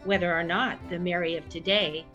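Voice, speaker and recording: A woman playing an excitable, self-important superfan who narrates a comedy audio drama in a grandiose, theatrical way. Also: on the low side, fairly quick, lo-fi sound, faint music behind. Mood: triumphant, grandiose.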